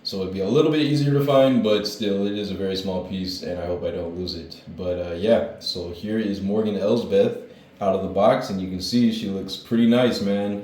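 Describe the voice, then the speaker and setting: A man getting over a cold, talking through a toy figure review in a relaxed, rambling way with lots of fillers. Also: deep voice, reverberant. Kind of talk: casual toy review vlog. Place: his room with hardwood floor.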